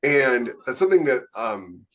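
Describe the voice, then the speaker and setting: A man with a medium-pitched voice talks in a casual podcast discussion. He explains things in detail and with enthusiasm, like a writer sharing his craft. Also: big pitch swings, a little slow, low-quality recording. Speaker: podcast co-host and science fiction writer.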